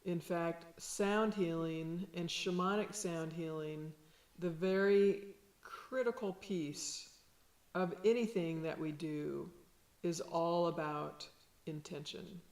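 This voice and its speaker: A woman with a low voice, a sound healer giving a gentle, unhurried lesson. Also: fairly even intonation, echoey room. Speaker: shamanic sound healer teaching. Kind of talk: slow calm instructional talk.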